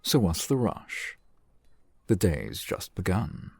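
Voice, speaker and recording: Man acting a slow, gravelly, suave fantasy shopkeeper. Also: low-pitched, huge pitch swings, a little mellow, no echo, heavily acted. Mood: wry, unhurried. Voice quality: gravelly, husky. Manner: measured, formal, wry, silky wording. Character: suave, shrewd, unhurried male fantasy shopkeeper and fence. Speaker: voice actor in audio roleplay. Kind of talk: fantasy ASMR roleplay acting. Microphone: close microphone, dry sound.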